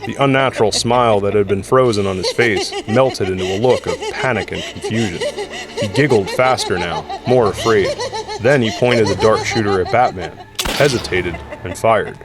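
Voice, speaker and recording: A man's voice: lowish voice, normal speed. A man narrating an audio drama in a dramatic, scripted storytelling style. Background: dramatic music.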